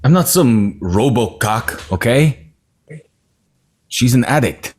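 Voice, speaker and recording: A man reading the part of a deadpan young man at a table read. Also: medium pitch, slow pace, slightly rough. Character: weary young man, dry and blunt.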